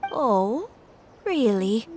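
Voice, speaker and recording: Slow-speaking gentle elderly grandmother. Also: fairly high pitch, very animated, slight background noise, heavily acted. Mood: wistful, gentle. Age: older. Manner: slow, hesitant, reminiscing. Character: gentle elderly grandmother visiting a shrine, wistful and polite. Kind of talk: video game character acting.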